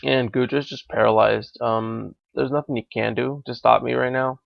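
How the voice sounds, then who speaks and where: A young man, slightly hoarse and raspy from being sick, giving live commentary on a competitive game and thinking out loud with frequent fillers. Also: medium pitch, fairly even intonation, moderate pace, no room sound, highs cut off. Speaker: gaming commentator.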